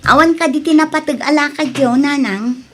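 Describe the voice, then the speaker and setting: A woman's voice: high-pitched, moderate pace, soft background music, natural voice. A woman playing a worried grown daughter in a comedy radio drama. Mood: worried, explaining.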